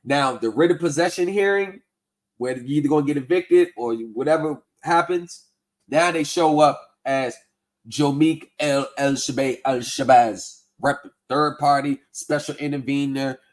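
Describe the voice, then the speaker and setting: A man's voice: fairly high pitch, measured pace. Skeptical man explaining forms on a livestream. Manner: conversational, skeptical, reads form text aloud. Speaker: livestream host debunking scams. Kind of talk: casual explanatory commentary.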